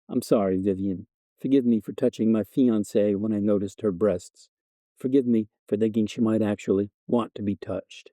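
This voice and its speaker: A man's voice: lowish voice, fairly even intonation, moderate pace, dark tone, no room sound, close to an everyday voice. A man playing a bickering fiancé of about thirty, sarcastic yet apologetic, in a story reading. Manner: defensive, sarcastic, placating. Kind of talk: storybook dialogue narration.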